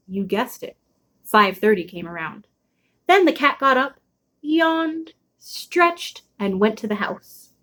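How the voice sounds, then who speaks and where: A woman reading a children's chapter book aloud expressively, with dramatic pauses and drawn-out emphasis. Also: fairly high pitch, a bit bright. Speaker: storybook read-aloud host.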